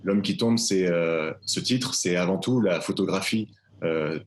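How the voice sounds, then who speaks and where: A man presenting his stage adaptation live, speaking in measured, literary phrasing with frequent fillers. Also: sits low. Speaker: theatre director presenting his play. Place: live video call.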